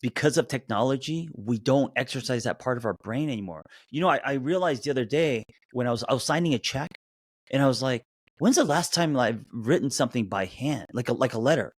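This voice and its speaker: Animated fifty-something man chatting. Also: medium pitch, fairly quick, husky, dry recording, clean studio sound. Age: 50s. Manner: animated, rambling, frequent restarts. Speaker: podcast co-host. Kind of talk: casual chat.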